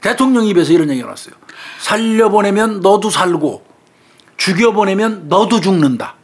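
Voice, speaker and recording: Commentator, Chungcheong Korean accent, relaxed conversational delivery. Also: medium pitch, normal speed. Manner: conversational, with frequent fillers and murmured agreement. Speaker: crime commentator. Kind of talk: casual true-crime discussion.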